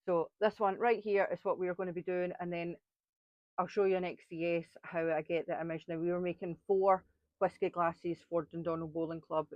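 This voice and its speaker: A woman's voice: lowish voice, fairly even intonation, brisk, no echo, muffled recording. A woman with a Scottish accent, chatting her way through a step-by-step crafting tutorial with blunt, frank asides. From Scotland.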